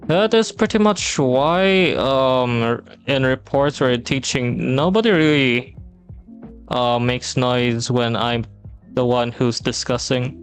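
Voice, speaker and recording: Young guy from the philippines rambling on stream, drawls slow, lots of ums, mid pitch. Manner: relaxed, rambling, many ums and restarts. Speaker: streamer and teacher. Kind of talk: casual chat while drawing on stream. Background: soft background music.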